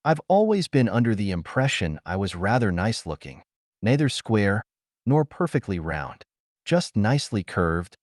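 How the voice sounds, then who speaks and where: A composed and unabashed woman from pulp science fiction, played by a male audiobook narrator. She teases in a matter-of-fact way, with very lively pitch and a dry sound. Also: moderate pace, no echo. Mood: teasing.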